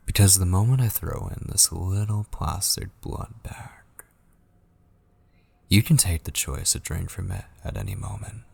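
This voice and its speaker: A man playing an obsessive, possessive kidnapper in an ASMR audio roleplay, seductive, menacing and pleading, coaxing in a gravelly, breathy voice that often slips into whispers. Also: sits low, measured pace, a little mellow, exaggerated voice. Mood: taunting, menacing.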